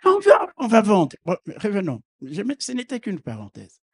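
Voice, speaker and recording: A middle-aged man preaching a sermon as a bishop, not a native speaker of the language. He preaches fervently, calling out hallelujahs and swinging from quiet asides to loud proclamations. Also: sits high, huge pitch swings, normal speed, gravelly, slightly rough. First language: not French.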